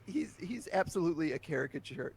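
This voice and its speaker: A chatty podcast guest, a man with a gravelly, crackling edge to his voice that sits a bit higher than most. He talks candidly and loosely, often laughing as he goes. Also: fast talker. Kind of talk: casual interview chat.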